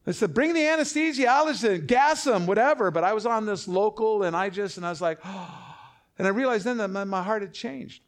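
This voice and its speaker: A man preaching, his voice sitting a touch high. He tells a story with animated, breathless energy, acting out the people in it. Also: a little fast. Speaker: pastor. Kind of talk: storytelling sermon.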